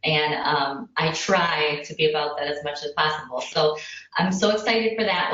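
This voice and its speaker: A woman's voice: fairly low pitch, fairly bright tone. An American woman hosting a casual online interview in an effusive, rambling way. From Indiana, United States. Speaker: host of an online parenting community chat. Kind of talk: casual video chat interview. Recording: very echoey room, distant muffled mic.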